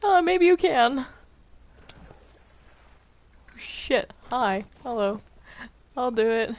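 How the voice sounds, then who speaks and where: A young woman with a high voice plays a video game with friends. She talks casually, trading banter as one player in the group. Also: animated, slow pace, a little mellow.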